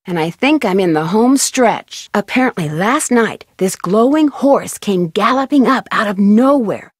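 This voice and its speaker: A woman's voice: medium pitch, lively intonation, measured pace, no echo, studio quality. A young American woman playing an upbeat, determined amateur detective in a video game, conversational and inquisitive. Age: young adult.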